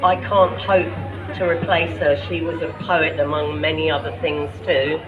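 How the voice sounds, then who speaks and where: A man with a London accent, from Ireland, reading his own poetry at a public gathering with passion and deliberate rhetorical questions. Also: a little high, level tone, narrow, tinny audio, a crowd in the background. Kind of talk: spoken-word poetry reading.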